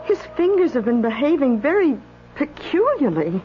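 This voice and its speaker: An actress playing an alarmed, hesitant female hospital assistant in a radio drama, excitable in manner. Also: high-pitched, normal speed, soft background music. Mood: puzzled. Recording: dull, faintly noisy old radio broadcast.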